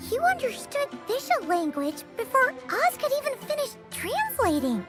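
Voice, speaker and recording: A woman voicing a tiny, chirpy, childlike fairy companion in a video game, chatty and blunt with a squeaky tone. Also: huge pitch swings, normal speed, full character voice. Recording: music behind the voice.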